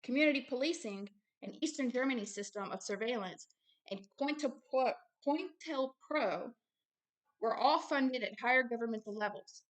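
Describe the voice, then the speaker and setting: A woman's voice: a little high, low-quality recording. A woman hosting religious commentary as she reads aloud and explains, speaking emphatically and repeating phrases for stress. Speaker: host, self-described prophet reading a book aloud with commentary.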